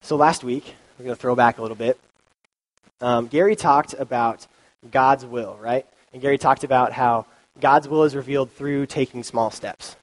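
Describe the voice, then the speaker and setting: A man's voice: medium pitch, moderate pace, poor audio. Young man with a cold, chatty youth sermon. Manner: informal, chatty, many ums and likes. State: has a cold, sniffly. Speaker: youth pastor. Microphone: handheld or stand mic.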